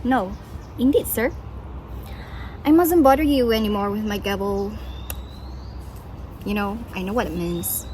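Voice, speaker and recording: A woman's voice: mid-range voice, a little slow, pauses often, darkish tone, close to an everyday voice. A woman playing a chatty, deferential working man in a stage play, rambling hesitantly. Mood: deferential, flustered. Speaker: stage actor. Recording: noisy recording with background ambience.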